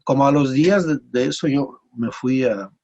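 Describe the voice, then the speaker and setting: A man with a Mexican accent and an average-pitched voice tells stories casually. He circles around, stopping and repeating words as he goes. The recording is dull and lo-fi. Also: a little mellow. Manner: rambling, halting with repeated words. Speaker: interview guest. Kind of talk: casual conversational storytelling.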